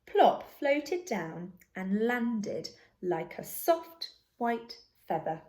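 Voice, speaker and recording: A woman reading a children's story aloud as narrator, in an expressive and deliberate manner. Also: mid-range voice. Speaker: storybook reader.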